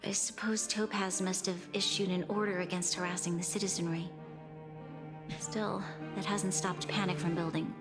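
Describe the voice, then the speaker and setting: A woman's voice: fairly low pitch, flat delivery, normal speed, big-room sound, over music, close to an everyday voice. A young woman voicing a composed, earnest and burdened leader in a video game. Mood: weary, worried.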